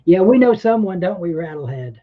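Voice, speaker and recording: A man's voice: high voice, big pitch swings, fairly quick, highs cut off. A man hosting a casual livestream chat, rambling and laid-back, a bit short of breath. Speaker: livestream host.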